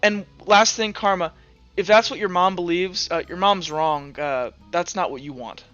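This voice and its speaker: A man's voice: sits high, moderate pace, sharp tone, muffled recording, faint music behind. A man voicing a brash, bossy young noblewoman in a casual, teasing way for a tabletop game. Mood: teasing, firm.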